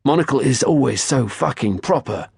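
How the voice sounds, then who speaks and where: A man's voice: mid-range voice, expressive, normal speed, gravelly, darkish tone, breathy edge, no echo, clean studio sound, pitched above the performer's own voice, exaggerated voice. A man voicing a rude, foul-mouthed male chef in an audiobook, blunt, scornful and impatient. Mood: aggressive.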